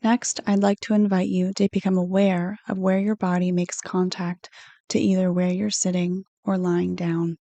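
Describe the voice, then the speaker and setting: A woman reading a guided meditation script in a soothing, unhurried, gently instructive manner. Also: fairly low pitch, flat delivery, no room sound. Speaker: counselor hosting guided meditations.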